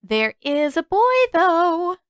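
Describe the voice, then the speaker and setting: A woman's voice: very high-pitched, big pitch swings, takes it slowly, no echo, studio quality, voice pushed up, exaggerated voice. A woman voicing a cheeky, nosy young girl in a story, teasing and needling in a sing-song way.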